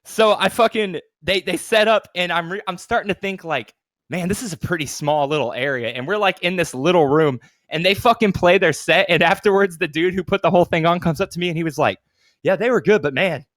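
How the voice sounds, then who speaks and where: A man's voice: sits high, brisk, fairly bright tone, no echo, studio quality. An American man from Texas chatting casually as a podcast co-host, in loose slang with free swearing. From Austin, Texas, United States. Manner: slangy, laid-back wording, swears freely.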